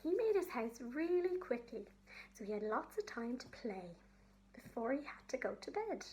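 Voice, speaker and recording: A woman telling a story to young children, in simple phrases with pauses for her listeners. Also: on the high side, sing-song, a little mellow, low-quality recording.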